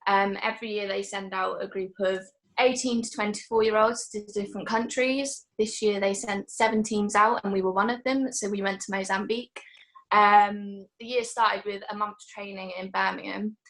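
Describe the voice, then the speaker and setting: An eighteen-year-old woman from England giving a casual, chatty group presentation about her gap year, pausing now and then to search for words. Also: mid-range voice, fairly even intonation, a bit bright, poor audio. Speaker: gap-year team member.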